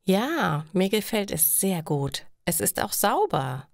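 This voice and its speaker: A woman voicing a cheerful, contented young female student in a storybook, with slow, friendly phrasing. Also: mid-range voice, big pitch swings, vocal fry, no echo, studio quality, full character voice.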